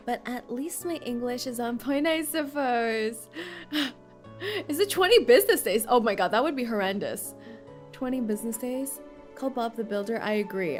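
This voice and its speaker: Woman streamer, high voice, playful gameplay commentary with giggles; faint game music behind.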